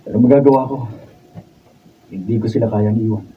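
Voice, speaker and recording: A man's voice: fairly low pitch, brisk, close to an everyday voice. A man acting a weary, fed-up husband in a Filipino radio drama, with a Filipino accent. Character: weary, fed-up husband gone cold toward his wife. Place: radio drama recording session. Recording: dull, distant, slightly noisy raw video.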